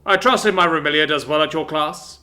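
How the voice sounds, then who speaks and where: A man reading a story aloud, voicing a stern, towering armored warlord father who talks in a loud, commanding way. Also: fairly high pitch, brisk, pitched above the performer's own voice. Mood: probing.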